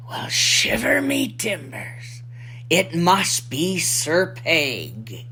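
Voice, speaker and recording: A woman voicing a salty old pirate lookout, spinning a rhyming Christmas yarn in a theatrical, drawn-out manner. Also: low-pitched, fairly bright tone, a little hiss or hum, heavily acted. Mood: excited.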